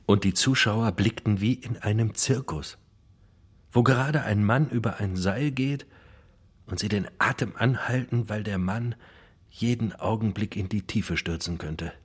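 An actor playing a brooding ex-convict who narrates in a radio play, intense and deliberate. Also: male voice, fairly low pitch, husky. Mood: tense recollection. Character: brooding male ex-convict recounting his trial.

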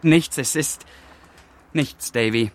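A man's voice: medium pitch, takes it slowly, close to an everyday voice. A man plays a young upper-class charmer in a literary radio play, arrogant and given to moodiness. The voice sits in a middle register, theatrical and worldly, with a nonchalant air. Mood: brooding. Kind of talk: literary radio drama dialogue.

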